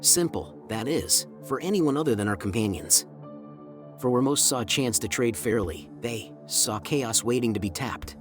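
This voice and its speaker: A man's voice: mid-range voice, lively intonation, normal speed. A man narrating a scripted fantasy story in a dramatic, ornate storyteller manner. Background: music.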